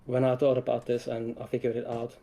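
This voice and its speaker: A man's voice: medium pitch, completely flat delivery, a little fast, dark tone. A man speaking casually as a guest game developer, explaining things in an informal chat. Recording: some background noise.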